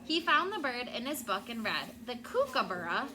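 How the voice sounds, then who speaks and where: Woman, schoolteacher, fairly high voice, interactive storybook read-aloud, questioning, clear background noise. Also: sing-song, normal speed. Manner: prompting, checks understanding with questions. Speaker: elementary school teacher. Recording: clearly noisy room sound.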